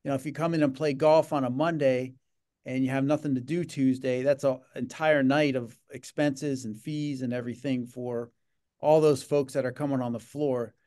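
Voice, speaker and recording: A man's voice: medium pitch, steady tone, moderate pace, a little mellow. A middle-aged man speaking in a casual video-call interview from a home office, rambling with many fillers and repeated words. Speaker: gaming association leader. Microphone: video call webcam.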